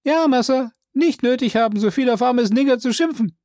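A reader voicing a frightened male servant who shouts down from a tree in broken, pleading speech. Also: mid-range voice, normal speed, no echo, studio quality, voice pushed up, lightly acted. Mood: eager. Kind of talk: audiobook character voice.

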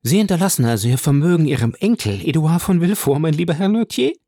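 A man voicing a scheming, ingratiating middle-aged mother in an audiobook, speaking in a smooth, eager, persuasive way. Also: on the high side, lively intonation, fast, warm tone, dry recording, pitched above the performer's own voice. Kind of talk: audiobook character voice.

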